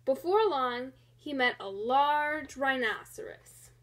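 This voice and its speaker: A woman narrates a children's story in a high voice. She takes it slowly and expressively, as a reader of picture books. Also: lively intonation, a bit bright.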